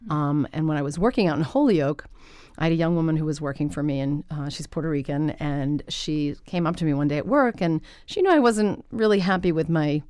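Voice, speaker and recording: A middle-aged woman, an interpreter, telling her story as a guest in a relaxed podcast interview and laughing easily. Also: deep voice, brisk, smooth, no room sound, clean studio sound. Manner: lively storyteller, laughs often. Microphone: studio microphone.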